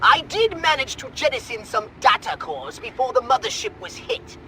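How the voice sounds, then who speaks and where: A man playing a pompous, high-strung alien mastermind in a video game cutscene, theatrical and wordy. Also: sing-song, normal speed, bright, forceful tone, exaggerated voice. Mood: smug, explanatory.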